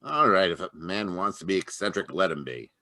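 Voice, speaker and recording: A man playing a barking homicide inspector, very low, animated, crisp. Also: fairly quick, no room sound, clean studio sound. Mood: brusque. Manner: brusque, barking. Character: blunt tough police inspector. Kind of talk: radio drama read live over video call. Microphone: home microphone on a video call.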